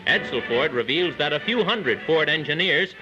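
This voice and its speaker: A man's voice: on the high side, animated, normal speed. A man with an American accent reading scripted narration as a 1940s newsreel announcer. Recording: muffled 1940s newsreel soundtrack. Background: music.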